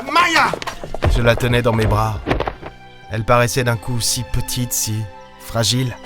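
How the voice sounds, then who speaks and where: A man's voice: mid-range voice, lightly acted. A man playing a weary, lonely space captain, narrating an audio drama in brooding, hesitant short phrases. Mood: grieving. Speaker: narrator and lead character of an audio serial. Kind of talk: dramatic first-person audio fiction narration.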